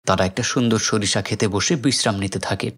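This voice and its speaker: A man's voice: on the low side, a little fast, breathy edge. A man with a Bangladeshi accent narrates a film recap as scripted storytelling.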